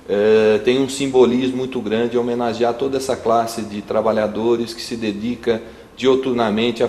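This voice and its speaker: Low-voiced Brazilian man, flat, echoey room. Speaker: community broadcasting representative. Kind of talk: spoken testimonial. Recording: echoey room, faint noise.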